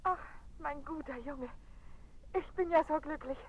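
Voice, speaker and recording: A woman's voice: sits high, unhurried, slight background noise, low-quality recording. An actress playing a doting, anxious and happy mother of a grown son in a German radio play. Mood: overjoyed, tender.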